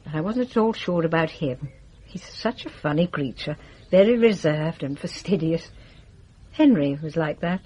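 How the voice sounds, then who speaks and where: An actress playing a proud, ailing English widow in her sixties, husky and reflective, in a radio drama. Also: deep voice, animated, moderate pace, warm tone. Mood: wistful. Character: proud, ailing widow over sixty, affectionate but regretful. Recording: dull, muffled radio recording.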